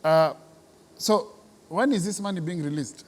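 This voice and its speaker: A man's voice: on the high side, unhurried, long reverb tail. A man with a Kenyan accent interviewing conversationally as host, restating and confirming what he is told.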